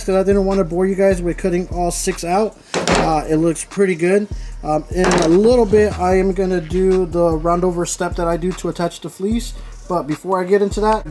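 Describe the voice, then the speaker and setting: A man hosting a DIY car audio build video, talking informally and explaining each step as he works. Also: sits high. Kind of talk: casual hands-on workshop tutorial. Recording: music playing behind the voice. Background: music.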